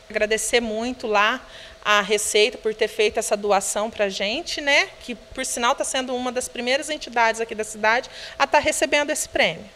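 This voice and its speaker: A woman's voice: high voice, sharp tone, big-room sound. A woman in her thirties with a Brazilian Portuguese accent, a charity director speaking earnestly into a handheld reporter mic indoors. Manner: earnest, appealing, uses filler "né". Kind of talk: news interview. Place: indoor room of a children's home. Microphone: handheld reporter microphone.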